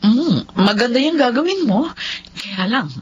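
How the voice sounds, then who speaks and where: In muffled, faintly noisy audio, a frank, protective friend in a Filipino radio drama. Her pitch is mid-range and very animated, with a nosy edge. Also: a little slow. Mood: concerned.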